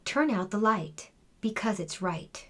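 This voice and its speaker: A woman's voice: mid-range voice, lively intonation, a little slow, a bit bright. A woman reading a storybook, voicing a confident, bossy little schoolgirl with an assured manner. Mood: proud, reciting.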